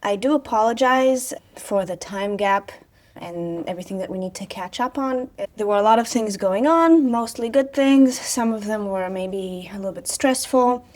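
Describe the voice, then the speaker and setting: A woman's voice: mid-range voice, moderate pace, darkish tone. A woman, chatting casually on her vlog with sarcastic asides and frequent fillers. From Israel. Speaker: vlogger, personal trainer.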